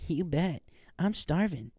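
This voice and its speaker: A man's voice: fairly high pitch, expressive, slow, breathy edge, no echo, clean studio sound, pitched above the performer's own voice, full character voice. A man voicing a young female pegasus pony in an audiobook story, game and casual in manner. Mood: eager.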